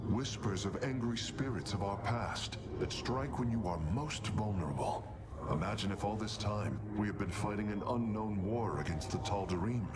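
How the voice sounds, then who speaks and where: A man's voice: fairly low pitch, moderate pace, heavy background noise, natural voice. A man playing a thoughtful, analytical alien engineer in a video game, speaking precisely and formally. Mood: indignant, sorrowful. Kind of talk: theatrical video game dialogue.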